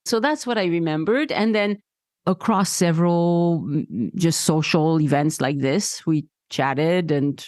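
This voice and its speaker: A woman's voice: sits low, unhurried, a little mellow, no echo, studio quality. A woman from France hosting a podcast, chatting and reminiscing, laughing easily. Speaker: podcast host. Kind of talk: casual conversation.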